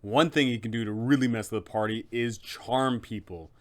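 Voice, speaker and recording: A man's voice: medium pitch, moderate pace. A man hosting a game master's guide, narrating in an emphatic, conversational explainer style.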